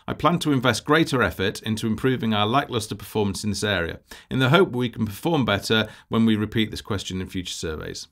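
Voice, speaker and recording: A man with a slightly low voice narrates a prepared presentation. His intonation rises and falls in a lively way, while his delivery stays measured and formal. He sounds like a project lead giving a businesslike report.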